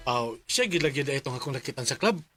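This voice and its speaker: A man's voice: medium pitch, lively intonation, quick pace, sharp tone, soft background music. A man acting as a friend in a radio drama, casual and friendly in manner.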